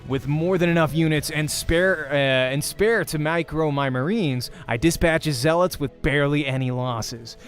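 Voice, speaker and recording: A man's voice: a little high, normal speed, few pauses. Man narrating a visual novel, music behind. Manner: acts out dialogue while narrating. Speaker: let's play host and voice actor. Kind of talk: expressive visual novel narration read aloud. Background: game music.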